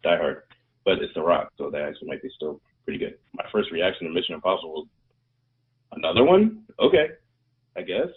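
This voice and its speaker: A man's voice: low voice, lively intonation, fairly quick. A man co-hosting a casual movie podcast in a laid-back, wry way. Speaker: podcast co-host. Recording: tinny phone-line audio.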